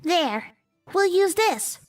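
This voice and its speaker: A woman's voice: very high voice, very animated, slow pace, recorded from a distance, faint music behind, heavily acted. A woman voicing an earnest, matter-of-fact young witch in a visual novel game. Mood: brisk.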